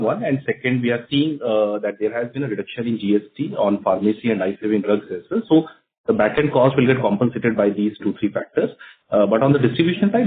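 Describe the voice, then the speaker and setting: A man with an Indian accent explaining things as a company executive answering questions on an earnings call. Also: fairly low pitch. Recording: narrow phone conference line.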